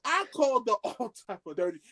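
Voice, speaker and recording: A man telling a story among friends on a podcast, excitable and slangy, acting out the dialogue as he goes. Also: extremely high pitch, a little fast. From Haitian background. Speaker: podcast co-host.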